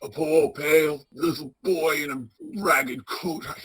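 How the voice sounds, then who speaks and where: A woman playing an anxious, sentimental old male miser in a radio play, stammering and halting with emotion. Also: deep voice, husky. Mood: sorrowful, regretful.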